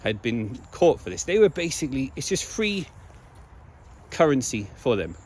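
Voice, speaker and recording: A slightly hoarse man speaking in a casual, emphatic monologue to viewers, repeating key phrases for stress. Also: medium pitch, big pitch swings, moderate pace, a little mellow, a little hiss or hum. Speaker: video blogger.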